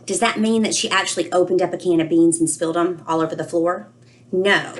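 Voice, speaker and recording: A woman reading aloud and teaching children, explaining expressively and pausing to ask questions. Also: on the low side, steady tone. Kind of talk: read-aloud lesson with questions to young listeners.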